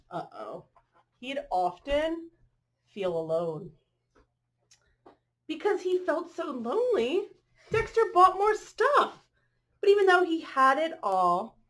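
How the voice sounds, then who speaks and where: A woman reading a children's picture book aloud expressively, adding chatty asides and drawing listeners in with questions and exclamations. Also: high voice.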